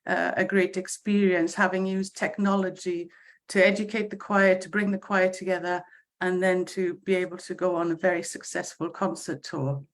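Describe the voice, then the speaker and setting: Welsh lady choir conductor on a zoom call, lowish voice, lots of ums and restarts. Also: level tone. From Wales. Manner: warm, halting, many fillers and restarts. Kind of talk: casual online panel chat. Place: home, eastern United States. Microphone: video call.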